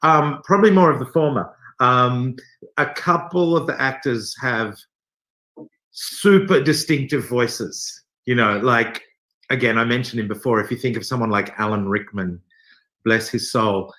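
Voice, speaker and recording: A man's voice: mid-range voice, a little slow, bright, forceful tone, poor audio. An Australian man explaining things in a casual interview, thinking aloud with some wry humour. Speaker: theatre company director being interviewed.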